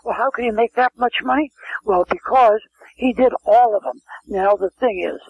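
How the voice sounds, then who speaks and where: Older man, gravelly reminiscing storyteller. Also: extremely high pitch, moderate pace. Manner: rambling anecdotal, frequent restarts and asides. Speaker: interview guest. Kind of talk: casual storytelling interview. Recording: muffled lo-fi phone-quality sound.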